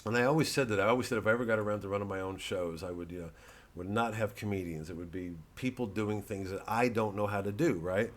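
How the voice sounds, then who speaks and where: A man who is a comedian, talking casually to his audience in a halting, digressive monologue with repeated words. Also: on the low side. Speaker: comedian, host.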